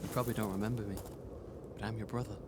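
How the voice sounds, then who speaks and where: A man playing a gentle, reassuring brother in a radio drama, soft and coaxing. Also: lowish voice, fairly even intonation, very fast, background noise, natural voice. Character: gentle, reassuring brother, ghostly dream visitor.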